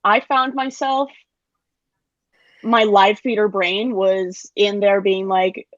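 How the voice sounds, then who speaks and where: A woman's voice: mid-range voice, flat delivery, takes it slowly, no room sound. Hesitant young woman, slightly dull dry audio. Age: 20s. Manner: hesitant, thinking aloud. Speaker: actor, graduating theater student. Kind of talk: casual chat.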